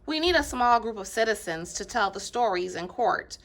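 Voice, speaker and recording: A woman playing an earnest, courteous male lawyer in a readers theater play, formal and measured. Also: mid-range voice, lively intonation, a bit bright. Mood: persuasive.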